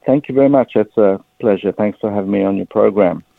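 Interview guest: 50s South African man, earnest and measured, low voice over a tinny, dry phone line. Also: vocal fry, dry recording. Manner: earnest, measured, a little emotional. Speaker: guest, ministry director. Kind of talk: radio interview.

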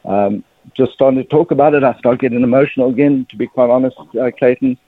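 A man's voice: medium pitch, fairly even intonation, fast, no echo. South African man on a phone-like line. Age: 50s. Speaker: interview guest, religious ministry leader. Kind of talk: measured personal account in an interview.

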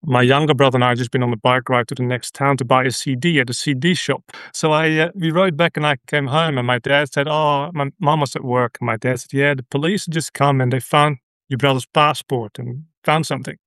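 A middle-aged man from the Netherlands with a Dutch accent, a radio guest telling a personal story in an earnest, halting way with many restarts. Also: medium pitch, steady tone, a bit bright, smooth, no echo. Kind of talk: conversational interview.

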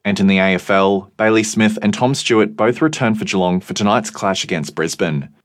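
A man reading radio news and sport bulletins in a measured, even newsreading manner. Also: lowish voice, no room sound, studio quality.

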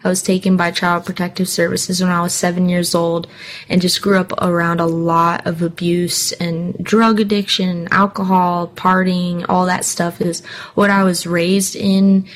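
A woman's voice: lowish voice, level tone, a little slow. Earnest young woman from Hawaii. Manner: candid, earnest, many fillers like um and like. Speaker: singer guest. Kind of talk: personal interview answers.

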